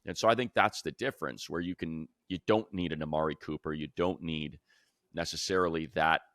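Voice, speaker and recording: A man's voice: very deep voice, steady tone, a little fast, a bit bright, dry recording, studio quality. A man co-hosting a sports show, giving unscripted analysis and repeating phrases for emphasis. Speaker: sports show co-host and commentator.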